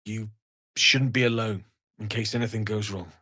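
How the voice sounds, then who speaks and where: A man's voice: on the low side, steady tone, moderate pace, bright, forceful tone, dry recording, clean studio sound. An actor playing an earnest, kindly young male blacksmith refugee in a fantasy video game, speaking sincerely and politely with plenty of expression. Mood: helpful, thoughtful. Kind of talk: scripted fantasy game dialogue.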